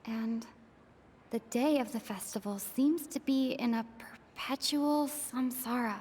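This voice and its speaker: A woman voicing a pensive young adventurer heroine in a video game, musing quietly to herself. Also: a little high, unhurried, a little hiss or hum, natural voice. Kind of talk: video game character dialogue.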